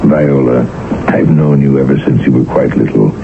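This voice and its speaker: An actor playing a calm, learned doctor of the occult who also narrates, speaking with formal, deliberate diction in a 1970s radio drama. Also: male voice, creaky, background noise. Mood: grave. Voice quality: deep, measured, formal diction. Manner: grave, deliberate and courteous. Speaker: narrator and lead character. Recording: narrow tinny old radio broadcast with music.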